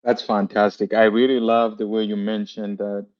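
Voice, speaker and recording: A man's voice: on the low side, flat delivery, moderate pace, dull audio. A man hosting a podcast over a video call, asking long questions filled with fillers. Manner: warm-spoken, rambling, many fillers. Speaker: podcast host. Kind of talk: interview questions.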